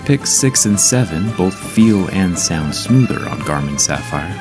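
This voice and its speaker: A man's voice: sits low, lively intonation, unhurried. A man narrating a scripted tech review in an upbeat, explanatory way, with background music playing. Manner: upbeat, explanatory, product-testing tone.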